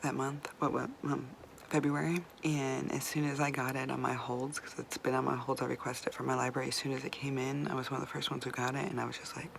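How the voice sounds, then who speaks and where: A woman's voice: extremely low pitch, little pitch movement, quick pace, gravelly, background noise. A woman reviewing books in a chatty, rambling way, swearing casually and sometimes dropping to a whisper. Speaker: book vlogger. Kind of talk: casual book review chat.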